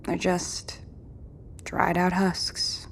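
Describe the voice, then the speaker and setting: A woman's voice: on the low side, monotone, very slow, breathy edge, heavily acted. A woman playing a determined, world-weary apocalypse survivor in an audio drama, speaking in a terse, matter-of-fact and commanding way. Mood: grim, matter-of-fact.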